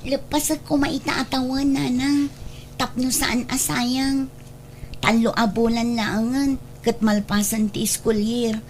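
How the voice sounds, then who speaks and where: A woman's voice: on the high side, level tone, slow, a little hiss or hum. A young woman playing a meek, remorseful pregnant daughter pleading in a radio drama. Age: young adult.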